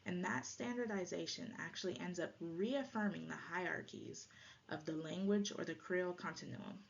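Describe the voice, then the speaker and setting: A woman's voice: lowish voice, expressive, moderate pace, vocal fry. A woman who natively speaks Jamaican Patois gives an explanatory academic presentation, often checking in with "right?". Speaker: presenter, lecturer. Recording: dull lo-fi audio, faint noise.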